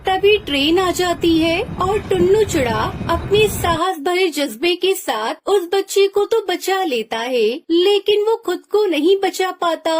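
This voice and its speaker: Woman narrator for a cartoon story, very high voice, dramatic telling. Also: expressive, measured pace, hardly any pauses, a little hiss or hum.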